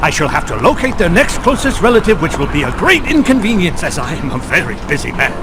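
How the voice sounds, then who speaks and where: An actor playing a fussy, self-important gentleman in a video game, prim and officious. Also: a little high, very animated, quick pace. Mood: scolding. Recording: clear car noise behind.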